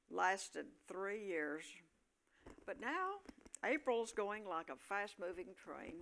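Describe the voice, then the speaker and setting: A woman's voice: medium pitch, creaky, a bit bright. A woman narrating an amateur stage play from a script.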